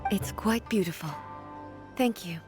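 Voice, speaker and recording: A woman voicing a solemn, ageless female ruler in a video game, speaking in a formal, measured, reflective way. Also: mid-range voice, over loud music, natural voice. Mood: gracious.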